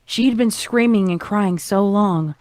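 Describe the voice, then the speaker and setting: A woman's voice: medium pitch, a little slow, darkish tone, dry recording. A woman reading a scripted horror fan fiction story as its narrator.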